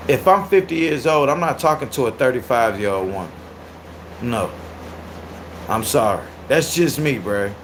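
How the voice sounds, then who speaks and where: A man in his thirties hosting a casual livestream and reacting to viewer comments. He is loud, animated and crude, and laughs often. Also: mid-range voice, moderate pace, a little hiss or hum, rough recording. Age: 30s. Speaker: livestream host.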